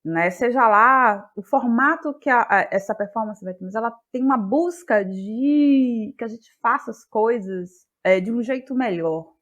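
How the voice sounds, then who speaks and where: A woman with a Bahian Brazilian accent, speaking as an interview guest in a reflective, conversational way, often halting to search for words. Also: on the high side, a bit bright, highs cut off. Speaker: guest, playwright and actress.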